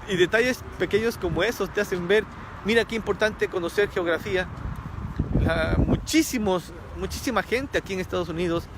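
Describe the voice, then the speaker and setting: A man's voice: high-pitched, noisy background. A man from Ecuador preaching a sermon, earnest, repeating key phrases for emphasis.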